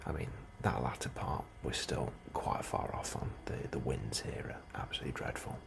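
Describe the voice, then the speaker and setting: A man doing a soft, breathy ASMR role-play as an unhurried, politely understated officer interviewing a newcomer. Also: a bass voice. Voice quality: soft, breathy, often near whisper. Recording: steady ambient noise behind the voice. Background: computer and ambience sounds.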